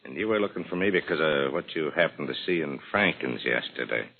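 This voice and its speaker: An actor playing a cold-blooded tough crook who gives clipped, commanding orders in underworld slang, in 1940s radio crime drama style. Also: male voice, very deep voice, lively intonation, a little fast. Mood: menacing. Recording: muffled, noisy old radio recording.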